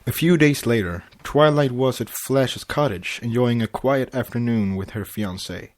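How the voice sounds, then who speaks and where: Man, podcast co-host, casual chat and reading stories out loud, medium pitch. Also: normal speed. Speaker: podcast co-host and story reader. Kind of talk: casual chat and fan fiction read-aloud.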